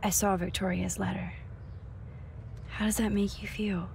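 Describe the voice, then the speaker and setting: A woman acting a caring, nerdy young student photographer in a video game, hushed and supportive, quietly determined. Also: mid-range voice, flat delivery, a little slow, creaky, a little hiss or hum. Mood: supportive, thoughtful. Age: young adult.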